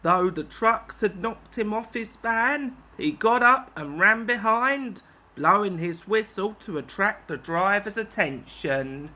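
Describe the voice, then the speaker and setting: Woman narrating a kids' storybook, slow and lively, bright tone. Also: medium pitch. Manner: slow, expressive. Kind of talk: children's story reading.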